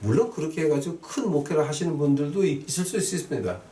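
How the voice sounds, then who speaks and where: A man's voice: on the high side, lively intonation, fast talker, warm tone. A man preaching as a pastor, emphatic, repeating key phrases and putting questions to his listeners. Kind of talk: sermon with scripture readings and rhetorical questions. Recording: roomy echo, distant mic, faint noise.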